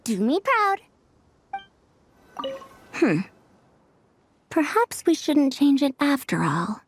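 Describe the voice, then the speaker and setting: A woman's voice: high-pitched, wildly swooping pitch, slow, slight background noise, full character voice. A woman voicing a proud, prickly scholar with a youthful look and an old-fashioned, pompous lecturing manner in an anime game dub. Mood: brisk, fond.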